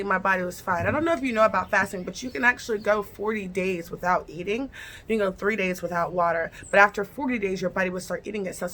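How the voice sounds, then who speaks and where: Woman vlogger, bright tone, casual first-person storyteller who talks directly to her audience. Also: mid-range voice. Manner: chatty, repeats phrases, addresses viewers directly.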